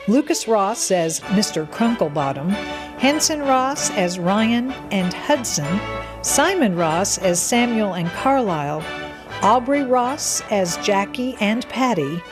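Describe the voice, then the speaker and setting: A woman reading scripted closing credits as a radio announcer. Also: medium pitch. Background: music.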